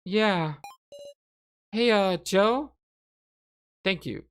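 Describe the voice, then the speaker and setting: A woman's voice: mid-range voice, extremely slow, a little hiss or hum, voice pushed up. A woman voicing a frightened, anxious teenage schoolgirl in a horror game, hesitant and emotive. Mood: relieved, uneasy.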